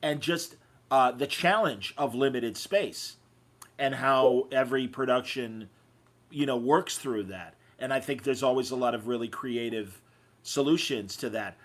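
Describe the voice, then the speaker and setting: Mid-pitched man hosting a casual interview. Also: unhurried. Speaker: podcast host, interviewer.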